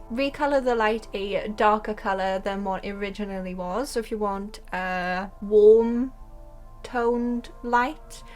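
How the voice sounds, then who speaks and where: A young Welsh woman with a Welsh accent, chatting excitedly about a game update and then calmly explaining her building steps. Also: mid-range voice. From Wales. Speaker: game content creator. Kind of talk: casual gameplay commentary.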